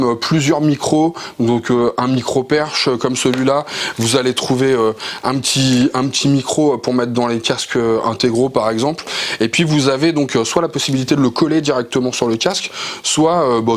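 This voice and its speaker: A man's voice: mid-range voice, steady tone, fairly quick. A man with a French accent presenting motorcycle gear in an informal, explanatory and enthusiastic way, like a casual product review.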